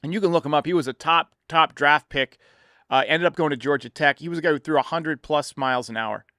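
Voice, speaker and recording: Male sports podcast host, slightly high voice, dry close sound, conversational and explanatory. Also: fairly quick, pushed and bright, dry recording. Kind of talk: casual baseball commentary and call-in talk.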